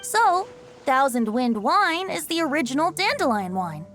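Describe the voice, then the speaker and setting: High, breezy, theatrical young bard. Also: female voice, big pitch swings, unhurried, fairly bright tone, full character voice. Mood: storytelling. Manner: lilting, flowery, storyteller-like. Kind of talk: video game voice acting. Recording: music behind the voice.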